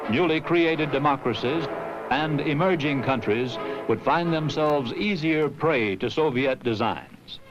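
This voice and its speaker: A dull, aged film soundtrack carries music under an American man's narration. His voice sits a little high for a man. He delivers scripted 1960s propaganda in solemn, deliberate phrases, leaning on key words. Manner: measured, solemn, emphatic phrasing.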